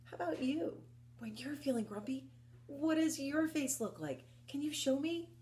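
Animated woman leading children's story time. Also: high-pitched, a little hiss or hum. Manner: expressive, encouraging, asks listeners questions, slow deliberate delivery. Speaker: library story time presenter for young children. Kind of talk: children's story time with rhymes and picture book reading. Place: indoors, small book-lined room at home.

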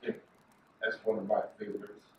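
Older male storybook reader as Santa, middle-pitched, heard from a distance in a very echoey room with light hiss. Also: completely flat delivery, fairly quick, dull audio. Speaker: Santa Claus performer reading a storybook. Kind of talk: storybook read-aloud. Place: indoor fireside Christmas set, roomy sound.

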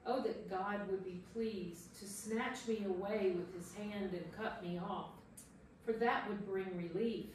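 Woman reading as a despairing sufferer, slow solemn scripture drama, very echoey and far from the mic. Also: medium pitch, fairly even intonation, close to an everyday voice. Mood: anguished pleading. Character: anguished sufferer, despairing man crying out against God. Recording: echoey room from far off, faint noise.

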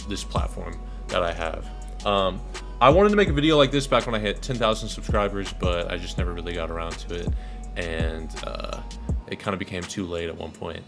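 A young man from Dallas, Texas, a videographer, talking casually to camera in a monotone, rambling way with lots of ums. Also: low voice. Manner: laid-back, rambling with many ums. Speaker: videographer and channel host. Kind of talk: casual thank-you vlog to camera. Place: small pink-lit bedroom, indoors.

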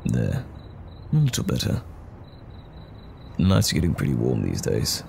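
A man acting as a tender, worried boyfriend in an intimate audio roleplay, speaking gently and soothingly to comfort a troubled partner at night. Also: extremely low pitch, huge pitch swings, a little slow, vocal fry, a little hiss or hum, full character voice. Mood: soft, reassuring. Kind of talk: intimate roleplay audio drama, soft bedside talk.

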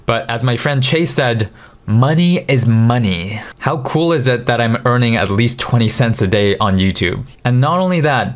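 A man in his thirties talking frankly and self-mockingly to camera in a small room at night, into a mic on a boom. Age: 30s. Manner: frank, self-deprecating, conversational. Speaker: vlogger. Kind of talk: candid confessional monologue. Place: dim small bedroom at night. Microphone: studio mic on boom in front.

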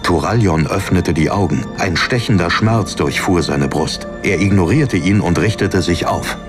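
A man with a very low voice narrates a fantasy audiobook in a dramatic, scripted style. Music plays clearly behind his reading. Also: moderate pace. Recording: narration over background music.

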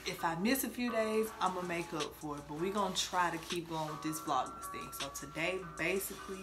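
A woman's voice: fairly low pitch, brisk, loud music behind the voice. A young American woman vlogging in casual, slangy chat with a sassy manner, recorded in a small bedroom on a camera a little way off. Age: young adult.

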